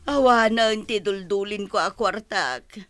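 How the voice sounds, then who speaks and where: An actress with an Ilocano Filipino accent playing a long-suffering, complaining wife in a radio comedy-drama, plaintive and full of exclamations. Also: female voice, mid-range voice, measured pace, over music, lightly acted. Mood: frightened, flustered.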